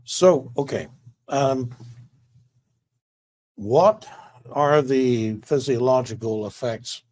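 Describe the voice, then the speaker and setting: A man hosting an interview, asking halting questions that often restart mid-sentence. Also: medium pitch, darkish tone. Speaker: podcast host.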